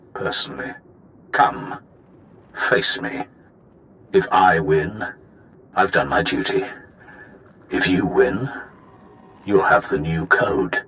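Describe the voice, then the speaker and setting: An honor-bound Sith lord in a video game. His voice is low and forceful, with dramatic swells in pitch. He speaks formally and with measured menace. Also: full character voice, poor audio. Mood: grave challenge.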